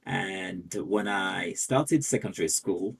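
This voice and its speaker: Husky low-voiced man. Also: takes it slowly. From Haiti, grew up partly in France. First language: Haitian Creole. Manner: soft-spoken, careful, occasional non-native phrasing. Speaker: guest, language teacher. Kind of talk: podcast interview conversation.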